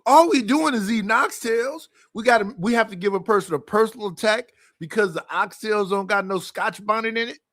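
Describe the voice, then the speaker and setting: A man's voice: high-pitched, a little fast, hardly any pauses, a bit bright. A man giving heated, casual commentary as a livestream guest, profane and laughing between his points.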